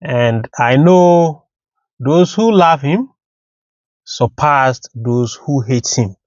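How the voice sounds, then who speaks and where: A Ghanaian man offers unscripted commentary as a host. He speaks slowly, in halting, deliberate little phrases, at a medium pitch. The recording is dry and a little dull. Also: darkish tone, no room sound.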